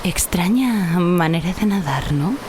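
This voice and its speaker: A woman's voice: on the low side, expressive, measured pace, noisy background. An actress playing a serene, wry woman of the seashore in an audio drama, speaking in a teasing, matter-of-fact way. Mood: wry, calm. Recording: loud music under the voice.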